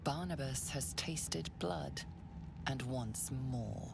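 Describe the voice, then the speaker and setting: A woman voicing a grave, ominous dark fantasy game narrator with deliberate, dramatic delivery. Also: extremely low pitch, lively intonation, vocal fry, background noise, heavily acted. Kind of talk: scripted second-person game narration. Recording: game audio with loud music.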